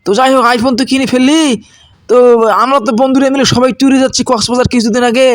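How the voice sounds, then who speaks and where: A man's voice: very high-pitched, level tone, fast, no echo. A man voicing a cheeky, mocking village friend in a comedy cartoon, slangy, teasing and goading.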